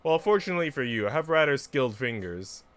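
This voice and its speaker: Soft, coy, teasing young lady voiced by a man. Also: medium pitch, big pitch swings, fast talker, voice pushed up. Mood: coy, affectionate. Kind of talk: visual novel character reading.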